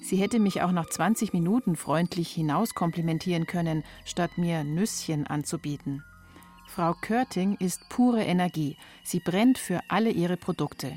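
A woman with a slightly low voice narrates a scripted radio-style feature as its reporter. Soft music plays behind her. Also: normal speed.

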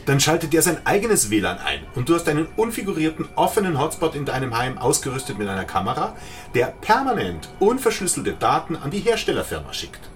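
An actor playing a chatty, knowing police colleague in a radio drama, explaining things wryly. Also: male voice, on the high side, big pitch swings, a little fast. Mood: amused lecturing. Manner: wry, explanatory.

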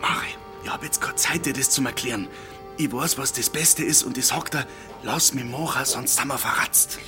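A man's voice: mid-range voice, normal speed, natural voice. A man playing a wily, fussing village mayor with a folksy, emphatic Bavarian dialect in a drama. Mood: urgent, confiding. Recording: music behind the voice.

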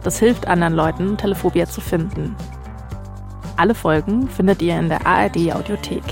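A woman's voice: fairly low pitch, fairly quick, vocal fry, music in the background. A woman, hosting a podcast and narrating from a script. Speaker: podcast host.

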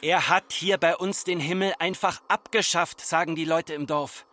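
An actor playing a farmer's embittered grown son, speaking in reproachful, incantatory repetitions in a literary radio drama. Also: male voice, on the high side, fairly even intonation, normal speed, pushed and bright. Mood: reproachful, foreboding.

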